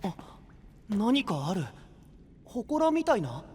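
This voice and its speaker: A man playing a cheerful, easygoing young guide to sea legends in an anime-style audio drama, explaining in a breezy, drawn-out way. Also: high voice, big pitch swings, mellow, slight background noise, exaggerated voice. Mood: urgent.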